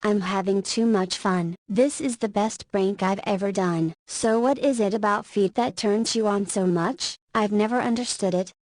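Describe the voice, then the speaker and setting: A woman plays a confident single mother of forty in a visual novel. Her voice sits at a middle pitch, playful and conversational, recorded very dry. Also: moderate pace, few pauses, darkish tone, no room sound, lightly acted. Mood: playful teasing. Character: teasing, confident forty-year-old single mother and school principal.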